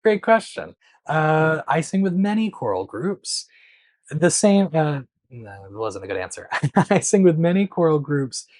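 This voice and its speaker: A man hosting a knitting channel, answering viewer questions in a relaxed, patient chat to camera, with many small pauses, recorded on a clip-on mic. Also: on the high side, no room sound. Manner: conversational, explains patiently with frequent um and so.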